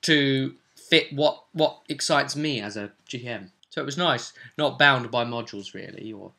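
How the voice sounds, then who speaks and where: A man's voice: medium pitch, a little slow, vocal fry, pushed and bright. A man hosting as game master, casually recapping a tabletop role-play session in a rambling, descriptive way.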